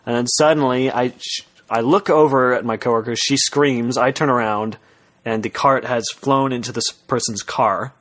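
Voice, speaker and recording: A man's voice: medium pitch, steady tone, a bit bright. A young man with a mid-range voice talks as a podcast co-host. He tells his story loosely and casually, doubling back on himself and swearing offhandedly as he goes. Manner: halting, self-interrupting, swears casually. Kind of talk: casual storytelling chat with friends.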